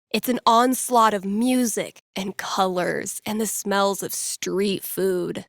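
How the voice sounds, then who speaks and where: A woman's voice: medium pitch, no echo, close to an everyday voice. A woman voicing an upbeat young radio DJ in a video game, conversational and teasing, with dry humour as she talks to callers. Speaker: radio DJ taking calls and telling fortunes. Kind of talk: playful radio host patter, storytelling to callers.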